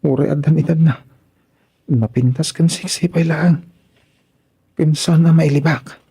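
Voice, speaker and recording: An actor plays a bashful young man in a radio drama. His voice is on the high side, very creaky and soft-edged, and he stammers and hesitates as if flustered. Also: steady tone, a little slow, full character voice. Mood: smitten, brooding. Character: shy, flustered young man tempted by his sister-in-law.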